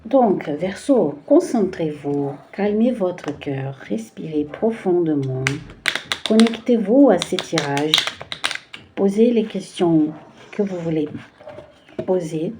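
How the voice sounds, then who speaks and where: A woman's voice: fairly low pitch, lively intonation, slow pace, noisy background. A woman from Brazil speaking French with a Brazilian Portuguese accent, giving a casual card-reading video and often hesitating to find the right words. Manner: hesitant, searching for words with many fillers. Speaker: tarot card reader.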